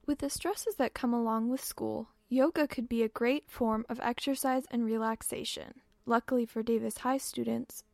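A teenage girl reads a school news piece in a steady, scripted manner. Her voice is high and gravelly, recorded dry and close. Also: dry recording. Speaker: student news reporter.

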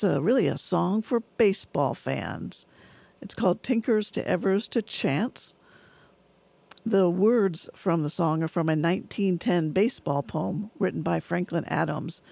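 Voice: medium pitch, gravelly, a little mellow, no room sound. A radio DJ presenting songs and reading announcements between tracks in a studio. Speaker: radio DJ and host.

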